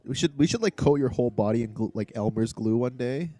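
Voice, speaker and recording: A man's voice: mid-range voice, fast, warm tone, dry recording. A man co-hosting a casual chat, rambling through explanations with plenty of filler words. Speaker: podcast co-host.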